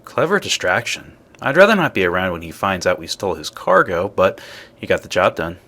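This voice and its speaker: An actor playing an assured man in a space adventure video game, with an animated, forceful way of talking. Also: low voice, fairly quick, heavily acted. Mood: confident, persuasive. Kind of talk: video game character dialogue.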